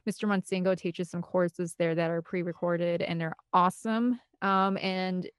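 A woman's voice: fairly low pitch, steady tone, normal speed, clean tone, no room sound, clean studio sound. A woman speaking as an interview guest in a casual, rambling and emphatic conversational way. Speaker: interview guest, film composer and director.

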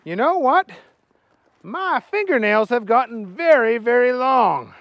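A high, whiny voice of a clumsy, dim-witted young fry cook, bewildered by everything, as a man puts him on for a storybook. He drags his words out slowly, and the sound is close and dry. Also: very animated, no echo, lo-fi sound, full character voice.

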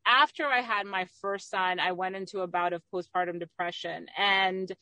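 A woman's voice: lowish voice, fairly even intonation, normal speed, bright, forceful tone, no echo. A middle-aged woman from the Dominican Republic talking candidly and at length as a guest in a conversational interview. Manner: talkative, candid. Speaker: interview guest, magazine owner and entrepreneur.

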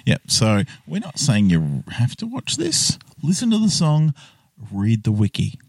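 A man co-hosting a podcast, chatting casually about an old film with joking, rambling, deadpan asides. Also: mid-range voice, unhurried, no echo. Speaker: podcast co-host.

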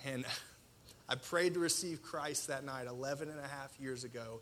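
A man in his thirties preaching a personal testimony in a colloquial, self-deprecating way, with dramatic pauses and a slight stutter. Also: mid-range voice, fairly bright tone, slight background noise. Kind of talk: personal testimony sermon to an audience.

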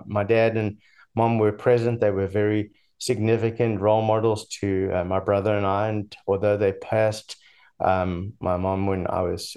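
Low-voiced South African man in his sixties. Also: a little mellow, clean tone. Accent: South African, slightly mixed. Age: 60s. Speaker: interview guest. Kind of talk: personal life-story interview.

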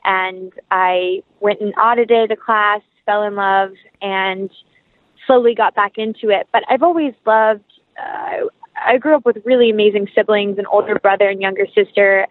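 A woman's voice: mid-range voice, no echo, phone-quality sound. A young American woman chatting enthusiastically and casually as an interview guest. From North Carolina, United States.

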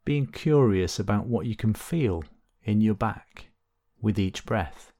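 Man, lowish voice, meditation guide, gentle instruction, unhurried phrasing, long pauses. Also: expressive. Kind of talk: guided body scan meditation.

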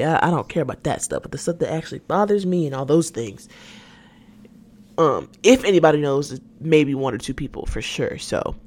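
A nineteen-year-old woman chatting candidly on her own podcast, laughing easily and often trailing off and starting sentences over. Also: deep voice. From Atlanta, Georgia. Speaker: podcast host. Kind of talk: casual solo podcast chat.

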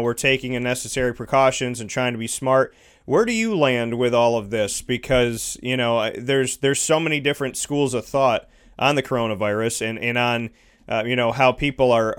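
Smooth-voiced male interview host. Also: mid-range voice, fairly bright tone. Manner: rambling, heavy on "you know" fillers. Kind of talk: casual interview hosting.

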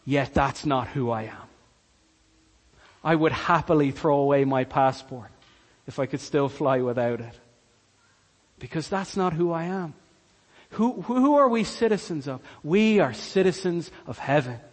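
A man's voice: a little high, animated, unhurried, long pauses, big-room sound. A man from Cork with a Cork Irish accent, preaching a sermon earnestly and repeating key lines for emphasis. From Ireland, Cork. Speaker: pastor.

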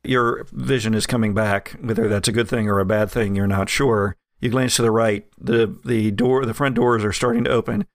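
A man narrating a tabletop game as game master, relaxed and chuckling, with many fillers. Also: fairly low pitch, moderate pace, few pauses, no room sound, clean studio sound.

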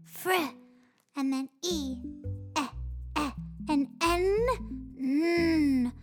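A performer voicing a young boy pig in a children's show, slowly sounding out letters while he learns to read. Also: sits high, faint music behind. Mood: puzzled. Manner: slow, sounding out letters one by one. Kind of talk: children's educational puppet show.

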